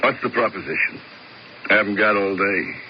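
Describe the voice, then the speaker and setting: An actor playing a terse, blunt, unimpressed hired tough in a radio drama, clipped and dry. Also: male voice, lowish voice, steady tone, normal speed, lightly acted. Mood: impatient, blunt. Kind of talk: old-time radio drama acting. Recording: muffled old radio, faint noise.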